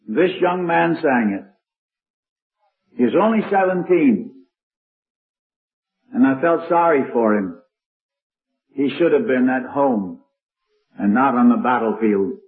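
An older man preaching with a strong, carrying voice, telling stories in an old-time sermon. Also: on the high side. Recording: tinny lo-fi archival recording.

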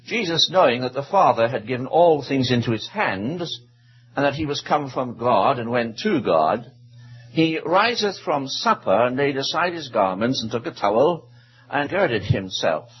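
Husky-voiced Welsh preacher, lo-fi recording. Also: male voice, mid-range voice, very animated. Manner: measured, stretches out scripture verses. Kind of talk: sermon with scripture reading. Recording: dull lo-fi recording.

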